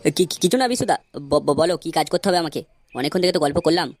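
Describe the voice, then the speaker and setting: A man's voice: a little high, racing, faint music behind, close to an everyday voice. A man voicing a lazy, henpecked young husband in an amateur comedy cartoon, grumbling crudely. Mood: flustered.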